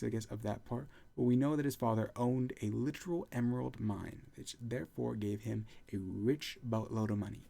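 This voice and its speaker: A man's voice: mid-range voice, vocal fry, mellow. A man hosting a reaction video, commenting bluntly and with exasperation on a debate clip.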